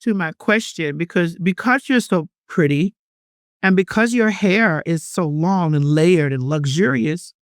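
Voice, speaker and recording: A woman's voice: lowish voice, animated, unhurried, no echo, studio quality. A woman hosting a podcast in casual chat, sassy and repeating her words, calling her guest honey and girl. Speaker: podcast host.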